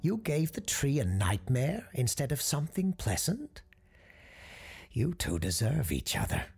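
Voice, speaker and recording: Casting: stern old woman with magic , acted by a storyteller, commanding and curt, gravelly, with sweeping pitch. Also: wildly swooping pitch, unhurried, a little mellow, faint music behind, exaggerated voice. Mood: firm, reassuring. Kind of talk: audio storybook character.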